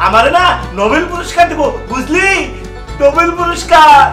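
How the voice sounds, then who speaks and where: A boastful, eccentric Bangladeshi scientist uncle, with a very high, piercing bright voice. He repeats himself giddily in comic cartoon style. The sound is echoey and distant. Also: moderate pace, heavily acted. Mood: gloating. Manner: self-congratulating, repeating words excitedly. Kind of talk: comic children's cartoon acting. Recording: echoey, distant voice with faint music.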